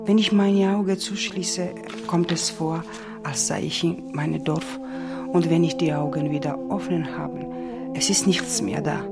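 Woman, Georgian accent, lower-pitched and unhurried, poetic recollection, loud music behind. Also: steady tone, creaky. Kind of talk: personal recollection with recited verse.

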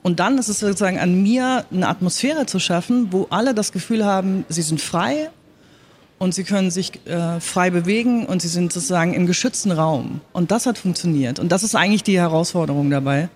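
A woman's voice: fairly low pitch, darkish tone, a little hiss or hum. A woman speaking in a panel talk as a radio drama director, explaining her work in an earnest, emphatic way.

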